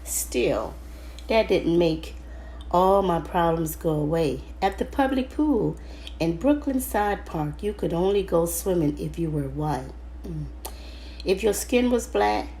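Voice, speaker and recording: A woman reading a children's book aloud in first person, halting now and then over the words. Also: lowish voice, vocal fry, dark tone, slight background noise. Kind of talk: storybook read-aloud narration, first person.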